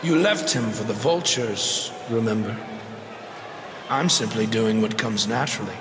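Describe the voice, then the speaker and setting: An actor playing a sinister, predatory wrestling cult leader who speaks in a calm, ominous, theatrical way in a video game promo. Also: male voice, unhurried. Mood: menacing taunting. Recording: booming arena hall with crowd noise.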